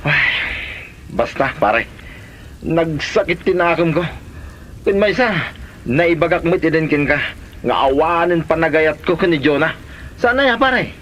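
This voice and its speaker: A man playing an excitable, defensive fellow in an Ilocano comedy drama. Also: fairly high pitch, measured pace, pauses often, slight background noise. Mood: defensive, flustered.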